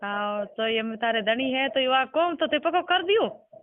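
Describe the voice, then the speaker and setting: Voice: high voice, animated, measured pace, dry recording. A person with a Rajasthani accent speaking informally on a phone call, asking insistent, repeated questions. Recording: muffled band-limited phone call recording.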